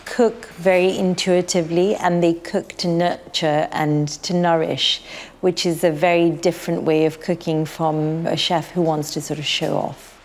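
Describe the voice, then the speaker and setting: Low-voiced woman chef, thoughtful and measured. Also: flat delivery, darkish tone. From Kenya. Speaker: chef and restaurant owner. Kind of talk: reflective interview answers. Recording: light music bed behind.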